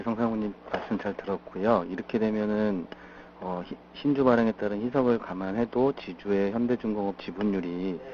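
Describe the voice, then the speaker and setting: A man calling in as an analyst and asking a measured question. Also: on the low side. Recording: muffled phone-in audio.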